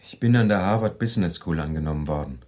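An actor playing a casual doctoral student in a radio drama. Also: male voice, low voice, fast talker, darkish tone, lightly acted. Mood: casual, sheepish. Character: casual male student quitting his doctorate.

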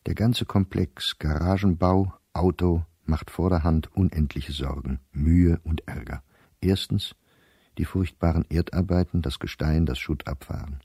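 Very deep, weary professor reading his diary. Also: measured pace, dark tone, husky, dry recording, studio quality, exaggerated voice. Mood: troubled, dry. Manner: precise, clipped telegraphic diary phrasing. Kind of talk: radio play diary monologue.

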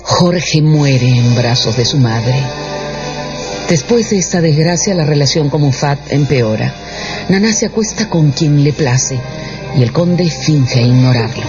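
Male radio drama narrator over music. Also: a little high. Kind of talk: dramatic scripted storytelling for radio. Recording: dull radio production with music bed.

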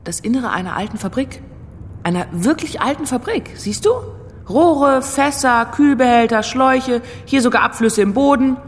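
A woman's voice: a little high, moderate pace, in a large hall, a little hiss or hum, close to an everyday voice. An actress playing a detective's eager, cheeky female sidekick in a German radio crime drama, speaking colloquially. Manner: colloquial, exclamatory.

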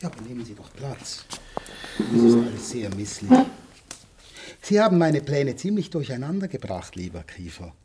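An actor playing a pompous food industry executive in a German radio drama, formal, measured and self-important. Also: male voice, mid-range voice, sing-song, heavy background noise, natural voice. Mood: smoothly menacing. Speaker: gala speaker.

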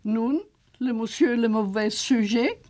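A woman's voice: a little high, a little slow, warm tone, faint music behind, close to an everyday voice. An actress playing a witty, grumpy old aristocratic lady in a German radio drama, mannered and haughty, sprinkling in French phrases. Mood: haughty, grumbling.

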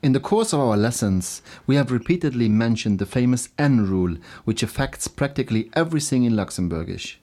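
Male language teacher, scripted delivery, mid-range pitch, very varied intonation, deliberate enunciation of examples. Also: expressive, darkish tone. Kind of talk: scripted language lesson, English explanations with Luxembourgish examples.

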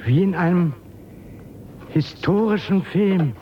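A man's voice: high-pitched, slow pace, dull audio, a little hiss or hum. An actor playing a nervous, flustered man of the future in a German radio drama, stammering and repeating his words. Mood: wondering, uneasy.

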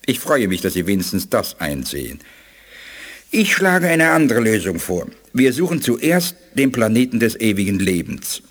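An actor playing a calm, wise alien scientist who speaks deliberately in a radio play. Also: male voice, lowish voice, in a large hall. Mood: explaining. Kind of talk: 1980s radio play acting.

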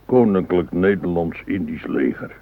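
An actor playing a blunt, cynical middle-aged husband in a naturalistic radio drama, gruff, sarcastic and complaining, with a husky voice. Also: male voice, low-pitched, normal speed, no echo. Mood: dismissive. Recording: phone-like, narrow radio recording.

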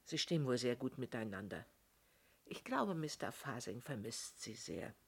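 A woman's voice: extremely low pitch, big pitch swings, normal speed, gravelly, husky, exaggerated voice. An actress playing a guarded, loyal landlady in a German radio play, answering hesitantly and defensively.

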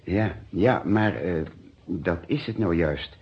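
An actor in a Dutch radio detective drama playing an anxious, lonely male murder suspect in custody, speaking haltingly. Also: deep voice, husky, exaggerated voice. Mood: anxious, hesitant. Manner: hesitant, halting. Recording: muffled old radio play recording.

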